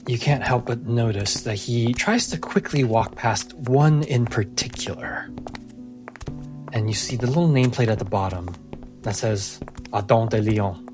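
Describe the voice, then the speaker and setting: A man narrating a fantasy tabletop game as game master, in measured phrases with dramatic pauses. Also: mid-range voice, music in the background.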